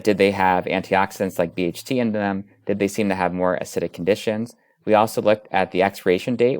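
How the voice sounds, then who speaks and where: A man's voice: deep voice, darkish tone, dry recording, studio quality. A man, a physician researcher, explaining findings methodically as an interview guest.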